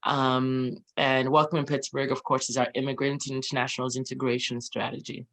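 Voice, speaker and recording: A man's voice: medium pitch, flat delivery, a little fast, a bit bright. Chatty man hosting an interview. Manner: chatty, many ums and tag questions. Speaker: host. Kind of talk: friendly interview introduction.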